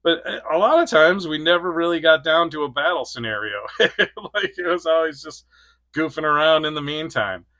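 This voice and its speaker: A man's voice: fairly high pitch, expressive, normal speed, bright, forceful tone, dry recording. A man in his forties reviewing an action figure in a casual, rambling way, laughing often between thoughts. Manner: rambling, giggly, lots of fillers. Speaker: toy reviewer.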